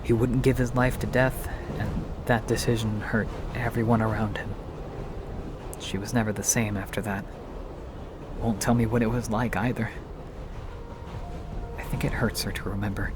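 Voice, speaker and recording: An actor playing an anxious, earnest stranger in an audio drama, pleading and insistent in a hushed manner. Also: male voice, fairly low pitch, flat delivery, normal speed, pauses often, close to an everyday voice. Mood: grave urging. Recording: noisy subway train ambience.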